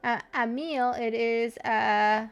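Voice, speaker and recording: Girl streaming a game, higher voice, really slow chatty reactions, kinda cutesy, soft music behind. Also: steady tone, lo-fi sound. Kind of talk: casual game commentary, reading along.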